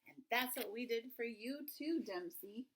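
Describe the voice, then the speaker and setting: A woman reading a children's book aloud expressively, with drawn-out emphasis and chatty asides. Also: a little high, a little hiss or hum. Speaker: reader of a children's picture book.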